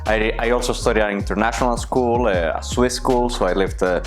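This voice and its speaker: A man's voice: on the low side, brisk. Thoughtful man, faint music behind. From Colombia. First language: Spanish. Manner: thoughtful, searching for words. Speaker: interviewee. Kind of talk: personal interview answers.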